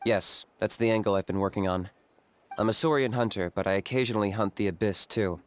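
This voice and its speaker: An actor playing a cool, reserved young hunter in a video game, terse, deadpan and matter-of-fact. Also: male voice, lowish voice, fairly quick, background noise, close to an everyday voice. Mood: cool, measured.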